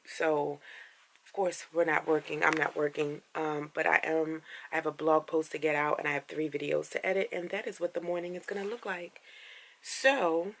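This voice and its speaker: A woman vlogging in a casual, rambling way, with a raspy deep voice after a late night and lots of fillers. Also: a bit bright. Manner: rambling, many fillers and restarts. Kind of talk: casual vlog chat.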